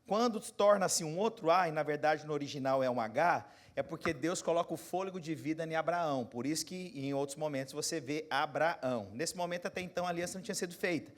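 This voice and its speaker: A Brazilian pastor in his forties preaching a sermon, moving from quiet, close asides to loud, rising exhortation and putting questions straight to his congregation. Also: on the high side, fast, hardly any pauses. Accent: Brazilian Portuguese. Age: 40s. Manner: talks straight to the congregation, asking them questions.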